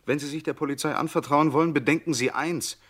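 An actor playing a calm, insinuating eyewitness in a crime radio drama, speaking in a measured, deliberate way. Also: male voice, on the high side. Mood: grave, insinuating. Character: calm, insinuating male eyewitness Gachet confronting a suspect.

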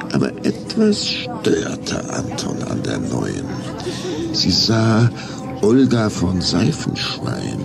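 Radio play narrator, low gravelly voice, slow and expressive. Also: male voice. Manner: expressive, storytelling. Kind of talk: scripted storybook narration. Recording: music underneath.

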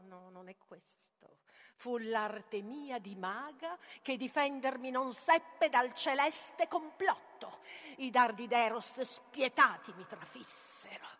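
A woman's voice: a little high, expressive, slow, pushed and bright, exaggerated voice. A woman playing a tormented sorceress bent on annihilation, declaiming a theatrical tragic monologue in a volatile manner. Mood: haunted, confessing. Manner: declamatory, volatile, swings from whispers to cries.

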